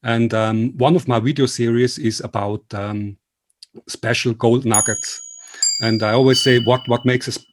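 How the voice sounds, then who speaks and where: Swiss man, earnest and halting interviewer. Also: medium pitch, steady tone. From Switzerland. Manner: earnest, polite, halting speech. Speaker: interviewer and video series host. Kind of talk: informal remote interview.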